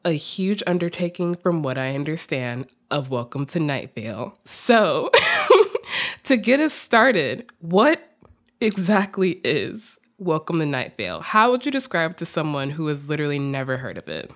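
Casting: female podcast host, lowish voice, very animated intonation, chatty, faint music behind. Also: a little slow. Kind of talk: casual, chatty interview.

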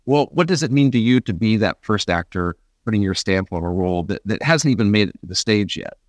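A man's voice: on the low side, animated, a little fast, dry recording. A man hosting a conversational interview, talking in an agreeable, sympathetic way as a fellow actor.

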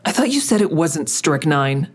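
A woman's voice: low voice, big pitch swings, normal speed. A woman playing a grim, confrontational investigator in an audio drama, questioning in a terse, dry, needling way. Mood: probing. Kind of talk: audio drama acting with narration.

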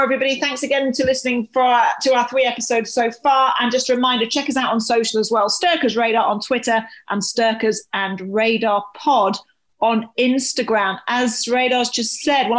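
High-voiced British woman hosting a podcast. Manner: chatty, informal. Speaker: podcast co-host. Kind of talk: casual podcast chat.

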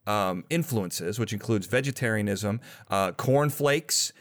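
A man's voice: on the low side, lively intonation, a little slow. A man hosting a podcast interview, chatty and curious, thinking aloud with asides. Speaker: podcast host.

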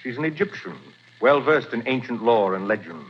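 An actor playing a polite, fussy museum curator in a 1940s radio drama, courteous in manner. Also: male voice, medium pitch, animated, moderate pace, close to an everyday voice. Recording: muffled old radio broadcast with clear hiss.

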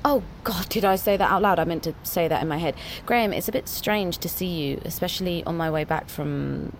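A woman's voice: on the low side, brisk, a little hiss or hum, close to an everyday voice. A woman playing a troubled, literal-minded, questioning character in a naturalistic audio drama, earnest and hesitant. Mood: flustered. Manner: hesitant, earnest, at times hushed.

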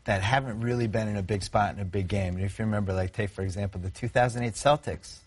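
A man hosting a casual sports talk show. He is chatty and quick to laugh, and he steers the conversation between segments. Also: on the low side, steady tone, slightly rough. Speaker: host. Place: studio.

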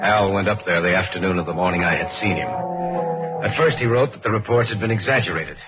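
Man acting sober lowish-voiced guy in an eerie old radio drama, muffled, music behind. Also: close to an everyday voice. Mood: somber narration. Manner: earnest, measured, dramatic. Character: sober, skeptical man narrating an eerie mystery.

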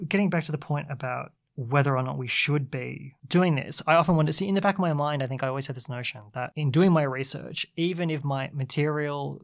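Gravelly thoughtful man. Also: fairly high pitch, a little fast, few pauses. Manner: thoughtful, hesitant restarts. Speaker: podcast co-host, anthropologist. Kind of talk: casual academic discussion.

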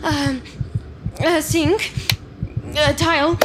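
A woman's voice: high voice, little pitch movement, extremely slow, noisy background. An actress playing an anxious, evasive female office worker who hesitates and stammers defensively in an audio drama. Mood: panicked. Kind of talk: naturalistic audio drama acting.

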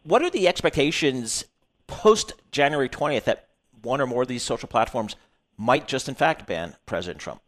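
A male news host with a medium-pitched voice. He frames questions for a guest in a conversational broadcast style, as part of a radio interview. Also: sing-song, moderate pace, fairly bright tone.

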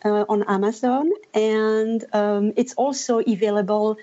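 A woman from Belgium speaks English with a soft Belgian French accent. She tells her story as a podcast guest at a middle pitch, stopping often to find her words. Her voice comes through a slightly dull, muffled line. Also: fairly even intonation, unhurried. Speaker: guest, cookbook author and blogger. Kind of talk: podcast interview.

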